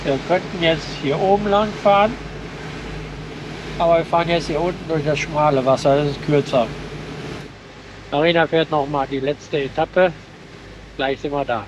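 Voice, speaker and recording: Man narrating from a boat, slightly high voice. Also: fairly even intonation, normal speed, long pauses, noisy background. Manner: matter-of-fact, commenting on the route. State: has a cold. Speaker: boat vlogger. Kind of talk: casual narration while boating. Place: outdoors on a motorboat. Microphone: headset.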